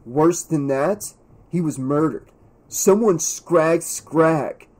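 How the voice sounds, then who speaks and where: Narrator doing a brash, husky teenage boy. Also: medium pitch, slow pace, dark tone. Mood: gleeful. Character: brash, callous teenage boy, gleeful gossip. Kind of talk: audiobook character dialogue.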